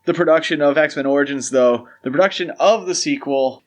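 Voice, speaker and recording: A man's voice: a little high, a little fast. Man podcast host with an analytical bent. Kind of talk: casual film discussion.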